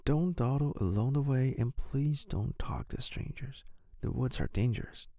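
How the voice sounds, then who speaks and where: Man acting storybook mother, raised pitch, caring, gently firm, cautioning. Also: moderate pace, dry recording, natural voice.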